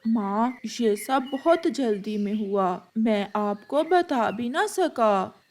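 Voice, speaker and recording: A woman's voice: fairly high pitch, lively intonation, takes it slowly, a little mellow, pitched below the performer's own voice. A woman voicing an anxious, apologetic young male bird, a devoted son, in a cartoon story. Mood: hesitant. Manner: apologetic, hesitant.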